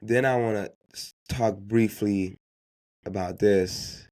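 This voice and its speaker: A man's voice: lowish voice, steady tone, slow pace, darkish tone. A man who grew up speaking Haitian Creole gives unscripted motivational business advice as a podcast host, emphatically repeating key phrases and posing rhetorical questions.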